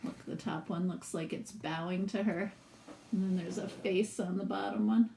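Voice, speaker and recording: A woman's voice: medium pitch, steady tone, gravelly, warm tone, slight background noise, poor audio. A woman who works as a teacher reads a children's story aloud expressively, with casual asides to explain the pictures. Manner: pauses to explain the pictures to listeners.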